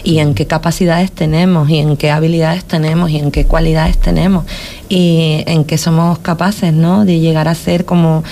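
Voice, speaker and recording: A woman's voice: low-pitched, flat delivery, normal speed, mellow, a little hiss or hum. A woman from Spain hosting a local radio show, talking in a thoughtful casual way with many restarts and tag questions. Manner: thoughtful, repeats words and adds tag questions.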